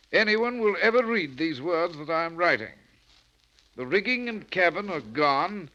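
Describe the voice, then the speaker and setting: A man's voice: sits high, pushed and bright, full character voice. A man playing a reserved, authoritative British destroyer captain, speaking formally and measuredly in 1940s radio drama style. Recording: dull vintage radio recording.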